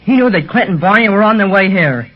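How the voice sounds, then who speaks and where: Eager teen boy detective, high voice, excited, old radio serial, muffled lo-fi sound. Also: fast. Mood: earnest. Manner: excited, breathless with boyish enthusiasm. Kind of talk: 1930s radio acting. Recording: dull lo-fi old radio recording.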